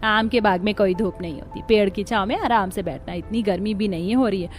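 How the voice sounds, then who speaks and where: A woman's voice: mid-range voice, a little fast, soft background music, lightly acted. A woman voicing a firm, thrifty older mother-in-law in a Hindi cartoon story, speaking insistently.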